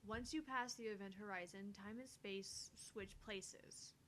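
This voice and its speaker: A woman's voice: measured pace, a bit bright, lightly acted. A woman voicing an earnest, easily flustered young female scientist from a visual novel, explaining with the odd stammer. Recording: faint noise with low rumble.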